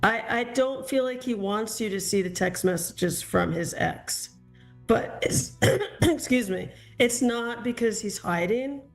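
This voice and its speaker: A woman's voice: a little high, unhurried, soft background music. A woman giving a psychic reading to a caller, reassuring and searching for her words as she goes. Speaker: psychic reader.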